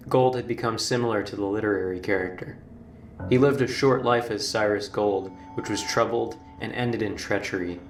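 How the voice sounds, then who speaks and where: A man narrating a scripted horror documentary in a measured, formal storytelling manner. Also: on the low side, creaky. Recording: roomy, distant playback with music. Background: background music.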